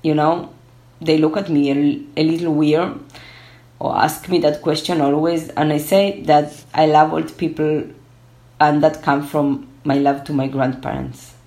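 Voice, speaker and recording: A woman in her thirties, a Spanish speaker, talking reflectively and haltingly as a guest in a conversational interview. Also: low-pitched, flat delivery, warm tone. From born in Argentina, moved to Israel at twelve. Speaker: interview guest, gerontology researcher.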